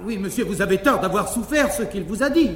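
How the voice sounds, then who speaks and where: An actor playing an excitable, fawning, cowardly manservant in a theatrical French comic stage style. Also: male voice, high-pitched, fairly quick. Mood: mock outrage. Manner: theatrical, exclaiming, obsequious. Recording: echoey 1950s live theatre recording, faint noise.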